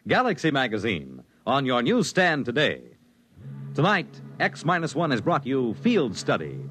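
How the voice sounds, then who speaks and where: Dramatic 1950s radio announcer man, wildly sweeping pitch. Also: husky. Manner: declamatory, dramatic.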